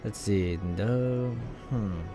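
Low-voiced man over game music. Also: extremely slow. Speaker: let's play streamer. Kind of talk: reading a visual novel aloud and casual gameplay commentary.

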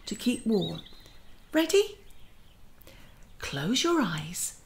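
A woman playing a gentle, kindly magical garden auntie who leads a children's guided meditation in a soothing, often near-whispered voice. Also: mid-range voice, big pitch swings, extremely slow, heavily acted. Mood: gentle, inviting. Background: music.

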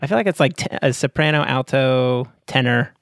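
A chatty man joins a tabletop game session, joking with his friends. His voice sits at a medium pitch. The recording is dry and close. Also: moderate pace, vocal fry, a bit bright, no echo. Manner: chatty, jokey, laughs often. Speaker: player. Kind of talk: casual chat.

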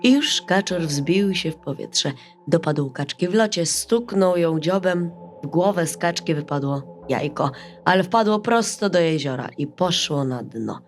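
A woman storyteller narrating a bedtime fairy tale in an expressive, scripted style. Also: on the low side, unhurried. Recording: music under the voice.

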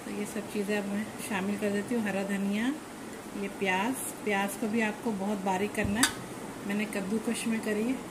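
A woman hosting a cooking channel, calmly walking through a home recipe step by step and repeating points as she goes. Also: medium pitch, moderate pace. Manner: step-by-step explaining, repeats herself, calm instructive. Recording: echoey room with background noise.

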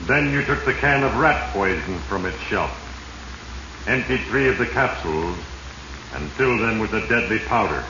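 Sinister male Fate: ominous, deliberate radio narrator, low register, reverberant vintage sound. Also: animated, highs cut off. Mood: grave, ominous. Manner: ominous, deliberate, addresses his victim directly. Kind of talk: 1940s radio drama narration. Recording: echoey, noisy 1940s radio recording.

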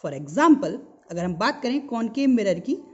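A woman's voice: fairly high pitch, big pitch swings, measured pace. A woman with an Indian accent teaching a physics lesson, explaining didactically, repeating key terms and asking rhetorical questions. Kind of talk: explanatory school lesson mixing Hindi and English. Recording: dull lo-fi sound.

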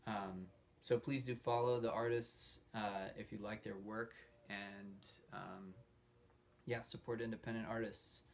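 A man in his thirties giving an informal, halting introduction as host of a livestreamed show, pausing often mid-sentence. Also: lowish voice, little pitch movement, gravelly. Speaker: host introducing a puppetry showcase. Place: indoor room hung with fabric backdrops. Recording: noisy room recording.

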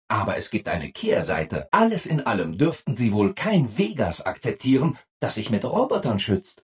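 Over-cheerful game robot, eager to please, hugely swooping intonation, husky rough-edged voice, obliging to a fault. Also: male voice, mid-range voice, exaggerated voice. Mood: brightly agreeable. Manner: exaggeratedly upbeat and obliging. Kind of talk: video game character acting.

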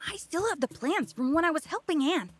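A woman's voice: high-pitched, expressive, fairly quick, dry recording. A woman voicing an eager, polite little desert creature mechanic in a video game, chirpy and earnest. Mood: earnest, helpful.

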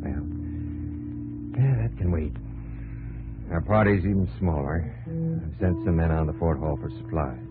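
An actor playing an earnest, driven army captain and explorer in a 1950s radio drama. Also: male voice, rumbling low. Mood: brooding, reflective. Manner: measured diary narration alternating with urgent dialogue. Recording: muffled, narrow-band 1950s radio recording with music.

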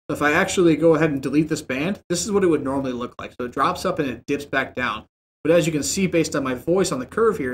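A young man in a home streaming room who explains audio settings in a relaxed, rambling way. He uses lots of fillers, and his desk mic sits about a foot and a half from him. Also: a little high, slight background noise. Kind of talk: casual screen-share tutorial. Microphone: desk mic about a foot and a half away, headset on.

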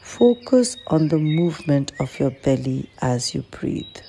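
Calm female meditation guide, low and mellow, gentle instructional delivery, birdsong faintly behind. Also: measured pace, gravelly. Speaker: clinical psychologist guiding a meditation. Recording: faint background noise with birdsong.

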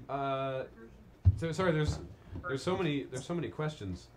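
A man hosts a live charity stream, talking with a guest in a quick, friendly way. He restarts sentences and laughs often. His voice sits a bit high, with a faint hiss in the background. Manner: chatty, stammering restarts, laughs easily. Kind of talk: casual chat. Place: crowded studio room, indoors.

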